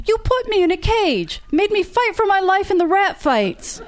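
A woman's voice: on the high side, expressive, moderate pace, a little hiss or hum, natural voice. A woman reading aloud as an angry, battered young woman who hurls accusations. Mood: angry, accusing. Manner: heated, accusing.

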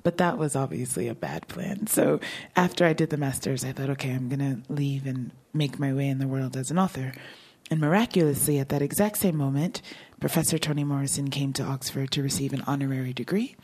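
Low voiced woman author chatting at a book talk, laughs a lot, easygoing. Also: fairly quick, hardly any pauses, a little mellow, slightly rough. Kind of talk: conversational talk and audience Q&A.

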